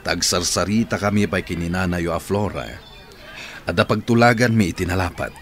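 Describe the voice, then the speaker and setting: A man's voice: sits low, fairly quick, a bit bright, faint music behind. A middle-aged man in a radio drama playing an earnest, persuasive man who is courting a woman.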